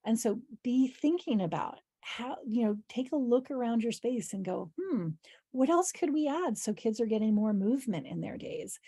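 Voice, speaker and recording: A woman with a hoarse, husky voice giving an informal instructional presentation as an educator, explaining in a conversational way with practical examples. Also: on the high side, expressive, moderate pace, warm tone, no echo. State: hoarse voice, clearing her throat. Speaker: early childhood educator leading a training.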